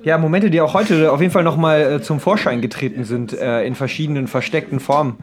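A young man chatting casually as a podcast co-host, explaining things in a halting, rambling way. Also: fairly high pitch. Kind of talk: casual chat among friends.

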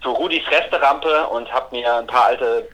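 A man's voice: mid-range voice, normal speed, a bit bright. A man chatting casually and effusively as a podcast co-host calling in over the phone. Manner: effusive, sentimental. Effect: phone call. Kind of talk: casual chat. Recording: phone call into the show.

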